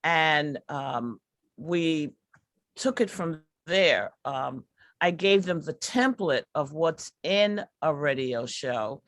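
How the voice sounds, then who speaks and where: A woman reflecting as a workshop artist on a video call, speaking in emphatic, halting phrases. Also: low-pitched, expressive, no echo, studio quality. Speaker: workshop artist leading the project. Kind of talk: reflective discussion.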